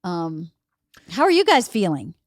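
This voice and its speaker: A woman hosting a podcast speaks in a lowish, slightly hoarse voice. She rambles along in an easy, chatty way. The sound is close and dry. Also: big pitch swings, unhurried, no echo. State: slightly hoarse, losing her voice. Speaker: podcast host. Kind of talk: casual chat.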